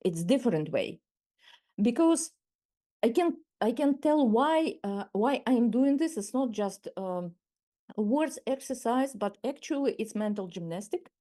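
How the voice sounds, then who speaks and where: A woman of around sixty from Ukraine, with a Ukrainian accent and Ukrainian as her first language. She gives a measured webinar masterclass over a video call and often restarts and repeats words. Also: on the high side, expressive, warm tone. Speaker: masterclass speaker. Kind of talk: webinar talk.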